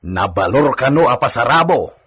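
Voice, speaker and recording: Radio drama servant, male, played by an actor: very husky, animated pitch, teasing, deferential to his betters. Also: normal speed, heavily acted. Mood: playful, teasing. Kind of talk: Ilocano radio drama acting.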